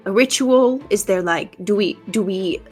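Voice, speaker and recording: A woman playing a tabletop roleplaying game, improvising her character's actions in a playful, halting way. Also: mid-range voice, slightly rough, faint music behind.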